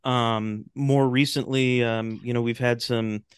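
A man speaking as a podcast guest in casual interview chat, explaining things in a rambling, halting way. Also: medium pitch, fairly even intonation, clean tone, no echo, studio quality. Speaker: podcast guest, talk show host and radio drama maker.

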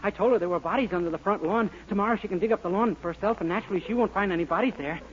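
Man as a fast-talking naive young fellow. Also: high voice, close to an everyday voice. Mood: sheepish. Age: young adult. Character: naive, eager young man spinning wild tales. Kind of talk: 1940s radio sitcom acting. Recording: muffled, hissy old 1940s radio recording.

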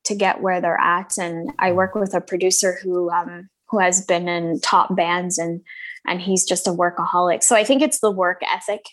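A woman's voice: on the low side, little pitch movement, moderate pace. A woman from Scotland with a North American accent and a slight Scottish trace, a singer talking casually in an interview, hesitant with many ums and restarts.

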